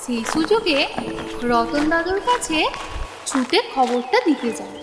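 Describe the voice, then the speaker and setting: A woman's voice: high voice, lively intonation, a little slow. A woman narrating a scripted children's story. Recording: echoey reverb, music underneath.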